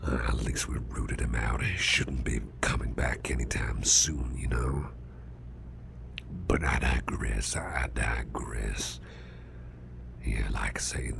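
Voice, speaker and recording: A man playing a big, strong, grumpy boss who is trying to stay calm, in a gravelly, breathy near-whisper for an ASMR roleplay. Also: a bass voice, background noise, exaggerated voice. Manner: slow, halting, murmured, restrained.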